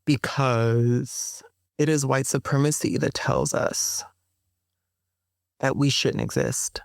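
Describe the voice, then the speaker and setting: A woman guest and performer in a reflective interview, speaking slowly and pausing to find her words, with slangy asides. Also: rumbling low, steady tone, creaky, dry recording, clean studio sound.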